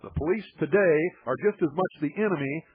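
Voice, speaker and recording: A man's voice: a little high, brisk, slightly rough. A man hosting a call-in radio talk show, speaking bluntly and growing heated. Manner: blunt, heated when riled. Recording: tinny shortwave radio broadcast.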